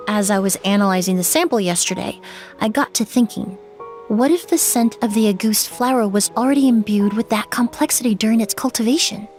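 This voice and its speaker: An actress playing a composed young perfumer and investigator who explains things in a calm, measured way. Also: female voice, medium pitch, natural voice. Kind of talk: game character dialogue.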